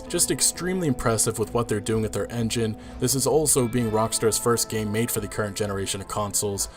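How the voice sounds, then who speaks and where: Low-voiced male game commentator over music. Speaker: video game trailer commentator. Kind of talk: trailer breakdown commentary. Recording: music behind the voice.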